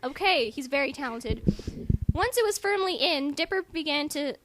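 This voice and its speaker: A woman's voice: sits high, animated, normal speed, fairly bright tone. A squeamish woman reading aloud casually for a comic dramatic reading, breaking off to comment and sounding grossed out. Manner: squeamish, self-interrupting, grossed out. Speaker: amateur reader in a comedic dramatic reading.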